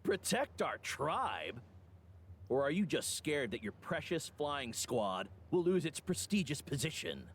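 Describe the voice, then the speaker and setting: A man's voice: a little high, big pitch swings, a little slow, slight background noise. A man playing a scornful, mocking tribesman in a video game. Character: scornful, mocking male tribesman spoiling for argument. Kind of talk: video game character dialogue.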